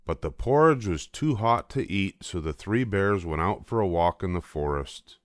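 A man's voice: deep voice, expressive, moderate pace, no echo, studio quality. An older man reading a children's storybook aloud as scripted narration. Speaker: storybook reader.

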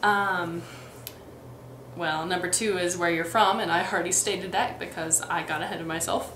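A woman's voice: on the low side, steady tone, moderate pace, a bit bright. Young woman, light Midwestern accent, rambling vlog chat, webcam in a roomy bedroom, faint ambient hiss. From Texas and Missouri, US. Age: young adult. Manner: chatty, many ums and restarts. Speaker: vlogger. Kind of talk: casual accent tag vlog. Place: bedroom, indoors.

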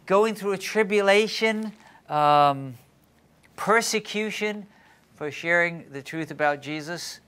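An older man leads a studio Bible class, pitched a touch high with a bright, forward tone. He keeps the room involved with quick questions. Lapel mic, clean studio sound. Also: smooth. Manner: questioning, engaging, asks the class. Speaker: Bible study teacher. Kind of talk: leading a group Bible lesson. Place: TV studio set.

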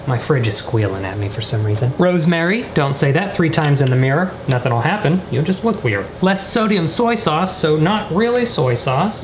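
A young man vlogs with dry, deadpan humor, his pitch jumping up and down as he jokes. He sounds like he is in an echoey kitchen, picked up by a camera with clear background noise. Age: 20s. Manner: deadpan, joking asides. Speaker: vlogger. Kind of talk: comedic cooking vlog chat. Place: indoors, small apartment kitchen. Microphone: camera mic at a short distance.

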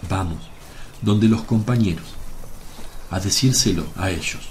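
Guy acting as a solemn kind preacher-master in a radio play, lowish voice, measured, some noise behind. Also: darkish tone, natural voice. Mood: gentle. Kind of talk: devotional radio drama acting.

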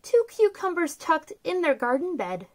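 A woman's voice: very high voice, sing-song, normal speed, a little mellow. A woman in her thirties hosting a children's story time, reading a picture book aloud in a bubbly, sing-song way from a small room. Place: small decorated room indoors.

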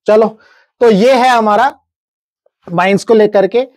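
A man's voice: high voice, takes it slowly, darkish tone, no echo. Indian man lecturing in a dry studio. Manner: methodical, with checking tags to the viewer. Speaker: presenter explaining government wage notices. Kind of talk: explanatory lecture, reading out figures and tables. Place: indoor studio, standing at a large digital screen.

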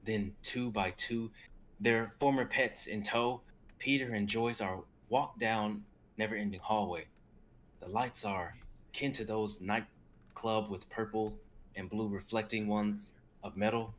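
Low-voiced young man narrating a script. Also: fairly even intonation, a little slow, a bit bright. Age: 20s. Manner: stumbles over the text. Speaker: narrator reading stage directions. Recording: noisy home video call audio.

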